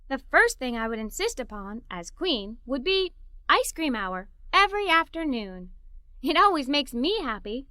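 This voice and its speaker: A woman reading a children's story aloud in the first person as a cheerful, imaginative little girl, with an expressive, playful delivery. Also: high voice, dry recording, studio quality.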